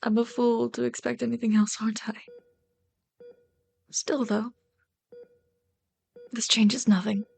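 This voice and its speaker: A woman playing a lovesick, conflicted villain in an ASMR roleplay, speaking in a hushed, halting, intense and tender way. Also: a little high, little pitch movement, vocal fry, breathy edge, heavily acted. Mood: resigned, resolute. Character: lovesick, conflicted villain pleading with wounded captive.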